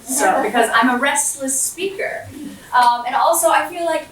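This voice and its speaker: An American woman from West Virginia giving a lively motivational talk to an audience, speaking loudly and projecting her voice while walking around. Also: high-pitched, normal speed. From Southwestern West Virginia, USA. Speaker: guest speaker and center director. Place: large indoor event room. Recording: echoey room, voice from far off, faint noise.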